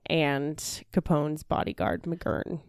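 A woman's voice: deep voice, takes it slowly, clear, resonant tone, no echo, clean studio sound. A woman co-hosting a podcast, telling true crime stories and chatting informally and playfully. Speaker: podcast co-host. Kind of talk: true crime storytelling and casual chat.

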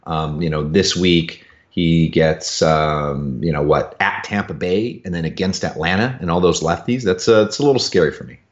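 A low-pitched man talks sports as a podcast analyst, recorded with a dull, lo-fi sound. His delivery is informal and halting, with pauses as he works out his thoughts. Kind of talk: casual sports talk, analysis. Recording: dull, lo-fi voice connection.

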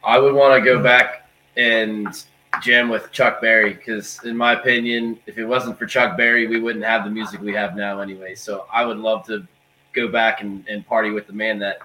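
Forty-year-old guitarist, bright forceful tone, chatty and easygoing, faint noise behind. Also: male voice, lowish voice, steady tone, normal speed, poor audio. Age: 40s. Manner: easygoing, chatty, laughs often. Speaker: band member, guitarist. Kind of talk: casual interview chat.

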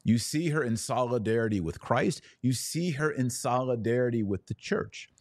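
A man hosting a podcast, giving a devotional theology talk with emphatic, deliberate phrasing and pauses for effect. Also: medium pitch, lively intonation, no echo, studio quality.

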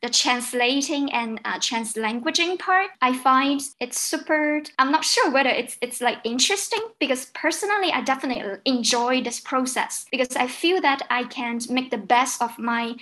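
A woman's voice: high-pitched, moderate pace, few pauses, sharp tone. A young woman from mainland China, a Cantonese speaker, answering interview questions with frequent uhs and likes. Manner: hesitant, many uhs and likes. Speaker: guest, PhD candidate.

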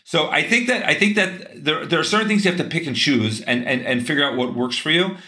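A man's voice: on the high side, a little fast, pushed and bright, reverberant. A man speaking as a guest in a casual interview. He talks earnestly in long runs and restarts his sentences often. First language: English.

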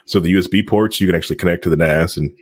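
A man's voice: sits low, rapid-fire, husky, dry recording, clean studio sound. A man hosting a tech talk show in casual banter, rambling and jokey, often laughing.

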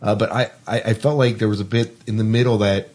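A man's voice: fairly low pitch, fairly even intonation, fast, a little mellow. A man hosting a casual film discussion podcast, thinking aloud and often restarting his sentences. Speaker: podcast host.

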